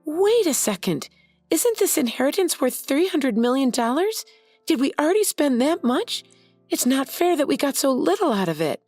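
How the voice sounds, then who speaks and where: A woman voicing a greedy, scheming wife who speaks in a shrill, excitable way in a narrated story. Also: normal speed, husky, dry recording, soft background music. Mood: panicked.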